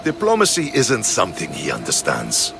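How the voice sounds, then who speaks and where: A man playing a principled, measured Spartan soldier in dramatic video game dialogue. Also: lowish voice, wildly swooping pitch, vocal fry, music in the background, full character voice.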